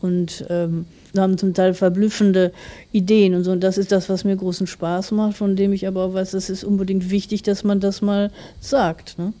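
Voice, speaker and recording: A woman's voice: mid-range voice, little pitch movement, normal speed, dark tone. A woman of forty, an author answering interview questions in a reflective, careful, explanatory way.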